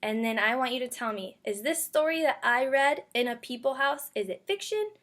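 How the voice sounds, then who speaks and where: A woman with a fairly high voice speaks as an elementary teacher leading a lesson for young children. She is instructive, repeating key points and asking guiding questions, and she stretches words out for her listeners. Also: animated, a bit bright. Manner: stretches out and sounds out words slowly. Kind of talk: read-aloud phonics lesson for young children.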